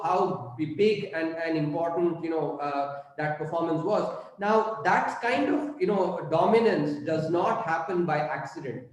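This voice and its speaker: A middle-aged man from southern India, a native Tamil speaker with an Indian English accent, gives an unscripted college lecture, pausing often with fillers and restarts. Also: high voice. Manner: halting, many fillers and restarts. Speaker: guest speaker on economics. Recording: very echoey room.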